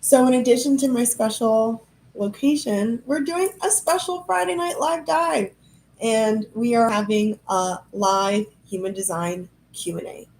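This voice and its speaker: Gravelly, stuffy-nosed woman host chatting live. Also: fairly high pitch, measured pace, a little mellow, slight background noise, rough recording. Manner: chatty, rambling, self-amused. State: nasal from a head cold. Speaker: podcast host. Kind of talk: casual livestream chat. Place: high-rise apartment indoors.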